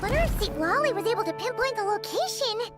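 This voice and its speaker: Tiny fairy companion: childlike, chatty, very high and animated, video game. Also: female voice, fast. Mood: hopeful. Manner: talks of herself in third person, exclaims often. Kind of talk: video game character acting. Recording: loud background music. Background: tinkling children's game music.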